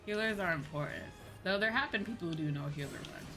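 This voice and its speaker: A woman's voice: on the low side, animated, quick pace. A woman livestreaming a game, chatting casually and thinking aloud in a rambling, informal way. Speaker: livestreamer playing an online game. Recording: music behind the voice. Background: game music.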